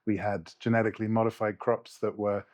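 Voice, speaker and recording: A man's voice: lowish voice, little pitch movement, brisk, darkish tone. A man who grew up in the UK, a guest researcher speaking in a thoughtful interview, often restarting his sentences. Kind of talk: conversational interview.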